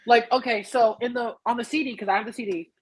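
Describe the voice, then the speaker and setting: A woman's voice: on the high side, fairly even intonation, fast, husky, no room sound, rough recording. A woman in a casual group podcast discussion, speaking in an emphatic, playful, slangy way as a panelist. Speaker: podcast panelist reviewing a film musical.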